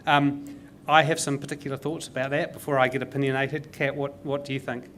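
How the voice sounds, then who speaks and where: A man with a New Zealand accent hosts a casual panel talk, emphatic and ready with opinions. His pitch sits in an ordinary middle range, and a faint hiss runs under the recording. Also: flat delivery, brisk, a bit bright. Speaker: panel host.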